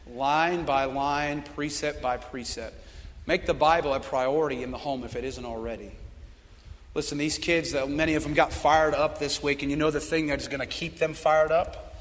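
Hoarse youth pastor, male, high bright voice, preaching in a reverberant church hall. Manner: swings between loud fired-up calls and low earnest appeals. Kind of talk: sermon.

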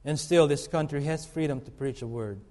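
A middle-aged man from the Philippines, preaching a sermon in an earnest, halting way full of fillers and restarts. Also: fairly high pitch, a little mellow. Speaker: guest preacher. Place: church sanctuary. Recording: long reverb of a church hall.

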